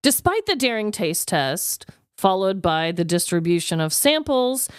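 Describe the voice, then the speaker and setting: A woman co-hosting a podcast, chatting and reading stories aloud in an animated, exclaiming way. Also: a little high, no echo, clean studio sound. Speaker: podcast co-host.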